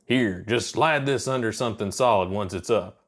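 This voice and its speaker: Audio story role: seasoned, easygoing space flight instructor acted by a man, gruff, folksy, casual drawl. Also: lowish voice, normal speed, lightly acted. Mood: casual, instructing.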